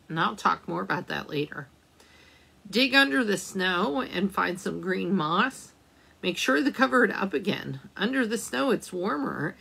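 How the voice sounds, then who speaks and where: A woman librarian reading a picture book aloud at storytime, slowly and gently, pausing to ask the children questions. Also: mid-range voice, creaky, breathy edge.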